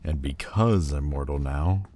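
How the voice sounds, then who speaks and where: Fallen demon lord for audio roleplay, male actor: extremely low, slow, haughty and theatrical, wounded pride, hesitant pauses, some background ambience. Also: dark tone, a little hiss or hum. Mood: bitter, exasperated. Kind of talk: dramatic ASMR roleplay monologue.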